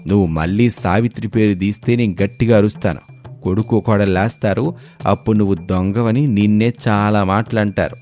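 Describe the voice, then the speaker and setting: A man's voice: lowish voice, steady tone, brisk, darkish tone, faint music behind, lightly acted. A man playing an exasperated, long-suffering middle-aged husband who grumbles and admonishes in a dramatised story. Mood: warning, low.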